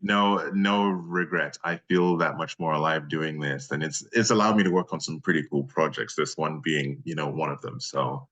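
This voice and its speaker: A man's voice: extremely low pitch, steady tone, normal speed, few pauses, a bit bright, muffled recording. A man from Nigeria, a voice actor, chatting casually as the guest in an interview.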